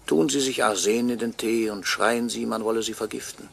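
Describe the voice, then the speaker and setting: An actor playing a bitter murder victim who narrates a radio crime drama with brooding, sardonic deliberation and a gravelly voice. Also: male voice, medium pitch, steady tone, normal speed, a little hiss or hum, lightly acted. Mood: bitter irony. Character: bitter murdered watchmaker's employee, narrating from beyond death.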